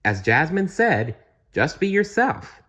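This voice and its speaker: A man's voice: on the high side, big pitch swings, slow pace, fairly bright tone, slightly rough, voice pushed up, heavily acted. A man voicing a friendly, supportive young hero prince in a casual, encouraging way for a children's storybook.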